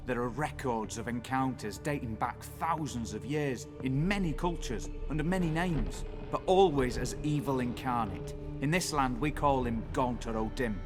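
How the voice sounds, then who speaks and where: An actor playing a blind, learned man who speaks formally and ominously, telling dark tales in a video game. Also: medium pitch, close to an everyday voice. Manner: formal, theatrical storytelling. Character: blind, fearful old scholar, learned and ominous. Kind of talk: dramatic video game dialogue. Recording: music playing behind the voice. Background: game music.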